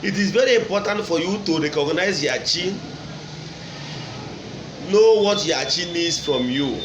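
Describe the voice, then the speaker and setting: High-voiced Nigerian Igbo man lecturing, echoey recording. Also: animated, measured pace. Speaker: traditional religion teacher and online video host. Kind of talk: unscripted religious lecture to camera. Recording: echoey room with clear background noise.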